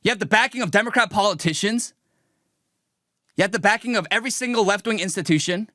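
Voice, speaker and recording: A man's voice: sits high, fast talker, bright, forceful tone, dry recording, studio quality. A young man streaming a mocking, combative political rant, talking emphatically as a show host. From raised in the United States. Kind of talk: livestream reaction rant.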